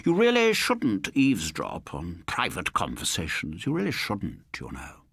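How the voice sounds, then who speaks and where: A man's voice: low-pitched, wildly swooping pitch, a little slow, gravelly, a bit bright, slightly rough, dry recording, full character voice. Man playing a sly, mock-courteous murderous lodger. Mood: quiet menace. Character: sly, menacing male lodger, smoothly polite murderer. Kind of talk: audiobook character voice.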